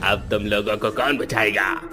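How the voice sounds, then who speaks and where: A man's voice: lowish voice, steady tone, normal speed, bright, forceful tone, faint music behind. A man voicing a menacing, domineering ghost in a cartoon, speaking with threatening force. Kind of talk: animated horror story acting.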